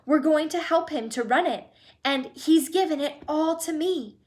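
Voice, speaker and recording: A woman's voice: on the high side, expressive, measured pace. Woman doing an eager young boy.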